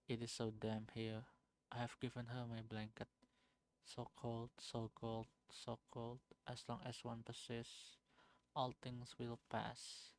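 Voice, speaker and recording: Man, game streamer, halting stumbling reading, flat monotone delivery. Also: medium pitch, poor audio. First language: Indonesian. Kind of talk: reading game text aloud on a live stream.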